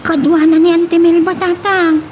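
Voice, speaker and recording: A woman's voice: very high voice, little pitch movement, normal speed, background noise. A woman playing an anxious, dutiful young daughter in an Ilocano audio drama, with an Ilocano accent. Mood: earnest, troubled.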